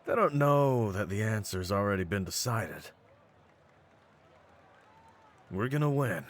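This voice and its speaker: Casting: male actor for cocky brawler champion, deep voice, streetwise slang, mocking confidence, in-character roleplay audio. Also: expressive, normal speed, close to an everyday voice. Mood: hushed confidence. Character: cocky, brawny half-breed pit-fighting champion, hyping his partner.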